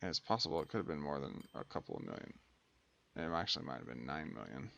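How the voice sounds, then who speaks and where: A man's voice: very deep voice, very animated, fast talker, creaky, pushed and bright, dull audio. A man giving casual let's play commentary as a gaming host, thinking aloud and muttering to himself.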